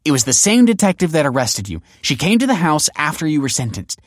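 A male narrator plays a grown daughter in an audiobook drama, exasperated and scornful. The delivery is sarcastic and impatient, lifted above the reader's own pitch. The sound is dry and close. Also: lively intonation, moderate pace, dry recording, natural voice. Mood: defensive, fuming.

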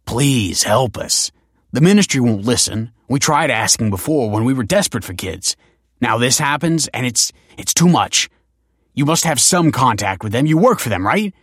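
A man voicing a desperate woman in her late thirties who stammers and begs, her voice pushed very high. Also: animated, moderate pace, dry recording, clean studio sound, natural voice. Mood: pleading. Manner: stammering, begging.

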